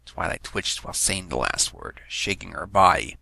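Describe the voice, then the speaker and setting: A man's voice: low-pitched, animated, normal speed, creaky, bright, forceful tone, dry recording. A man reading fan fiction aloud as a scripted story narration.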